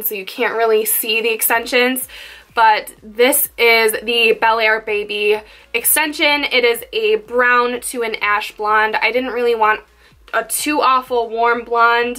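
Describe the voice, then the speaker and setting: A young woman vlogging about her makeup favorites in a chatty, candid way, a little croaky from being sick. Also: fairly high pitch, pushed and bright. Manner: chatty and candid, rambling asides. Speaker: beauty vlogger. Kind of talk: casual makeup favorites chat to camera.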